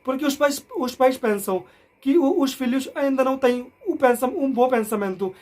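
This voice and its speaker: A man's voice: very high voice, a little mellow. Young Indian man speaking learner's Portuguese. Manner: learner's Portuguese, keeps checking in with "entende?"; halting, frequent restarts and self-corrections. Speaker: vlogger. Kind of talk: casual explainer to camera.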